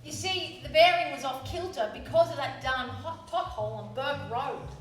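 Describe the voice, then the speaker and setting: A woman playing a spirited young garage owner in a live radio play, brisk and quick-witted. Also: sits high, natural voice. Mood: breezy. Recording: roomy stage sound from a distance, with music.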